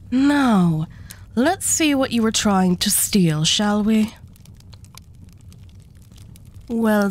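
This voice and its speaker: Female arch devil for an ASMR roleplay, acted by a woman: mid-pitched, imperious treasure hoarder, condescending, unhurried, courteously threatening. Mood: haughty, amused. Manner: condescending, unhurried, politely menacing. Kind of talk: scripted ASMR roleplay acting.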